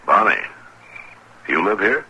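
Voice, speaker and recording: An actor playing a steady, laconic Old West marshal with weary authority, in old-time radio western style. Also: male voice, deep voice, slow, creaky, breathy edge, heavily acted, low-quality recording. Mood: grim, businesslike. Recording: old radio recording with crowd behind.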